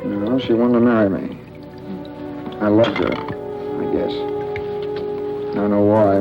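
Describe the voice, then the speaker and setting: A man's voice: lowish voice, steady tone, slow pace. A man playing a terse, guarded, bitter fugitive in a 1940s radio thriller. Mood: brooding. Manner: terse, hard-boiled, guarded. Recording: dull old radio recording, loud music.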